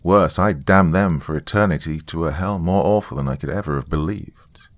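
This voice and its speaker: A man's voice: sits low, normal speed, no echo. A man giving a dramatic first-person reading of a scripted story. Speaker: horror story narrator.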